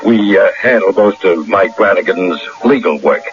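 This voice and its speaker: An actor playing a genial, sly, faintly sinister lawyer in an old radio drama, courtly and deliberate. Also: male voice, deep voice, background noise. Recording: dull lo-fi radio recording, faint music.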